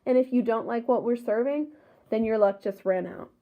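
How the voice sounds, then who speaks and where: Thin phone-like audio, defiant freed male slave read by a woman, high-pitched, rebel leader, emphatic, earnest, storybook dialogue. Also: moderate pace, lightly acted. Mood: scornful.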